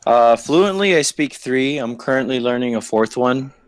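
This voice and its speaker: Young male caller from Saipan, easygoing, mid-pitched, dry close sound. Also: animated, moderate pace, smooth, no room sound. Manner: easygoing, lots of "like" fillers. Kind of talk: casual call-in chat.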